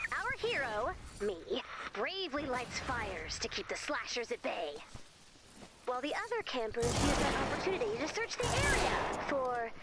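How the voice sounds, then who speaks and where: A woman voicing an eager, self-important would-be hero in a video game, narrating grandly. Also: sits high. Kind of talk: video game character voice acting. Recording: loud noise behind the voice.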